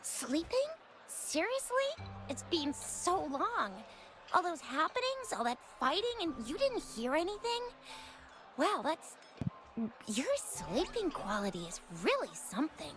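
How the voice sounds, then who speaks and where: Lively high-voiced game heroine. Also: female voice, a little slow, heavily acted. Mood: incredulous. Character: lively, inquisitive, expressive young female companion. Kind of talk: video game dialogue acting.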